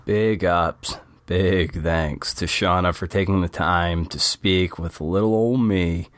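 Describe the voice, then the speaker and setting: Low-voiced male podcast host. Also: fairly even intonation. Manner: halting, restarts while asking questions. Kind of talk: casual interview hosting.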